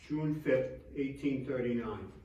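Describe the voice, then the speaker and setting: A man's voice: medium pitch, a little slow, mellow, a little hiss or hum, natural voice. An actor playing a grieving, devout and humble Victorian clergyman in a theatrical reading of letters. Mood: grieving, grateful. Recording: distant echoey hall stage recording.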